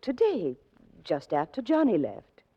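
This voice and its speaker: A fretful, kindly landlady in an old radio play. Her low, husky voice swoops about in a fluttery, chatty way, on a dull 1940s recording. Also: wildly swooping pitch, a little slow, heavily acted. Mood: flustered.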